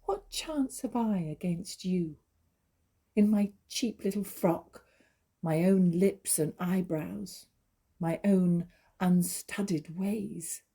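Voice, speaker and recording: An actress playing a naive, jealous young wife, pleading and accusing in stagey dramatic dialogue. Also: female voice, on the low side, slow pace, dark tone, slightly rough, heavily acted. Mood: tearful, bitter. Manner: imploring, emotional, accusatory.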